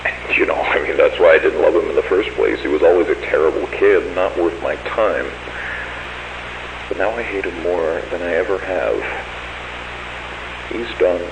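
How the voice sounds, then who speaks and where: A bitter, unhinged villain, acted by a man, confessing in a brooding monologue. The low voice crackles with fry, on a roomy recording with clear hiss. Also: a little slow. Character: bitter, hateful, unhinged murderous father confessing. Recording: hissy, roomy amateur recording.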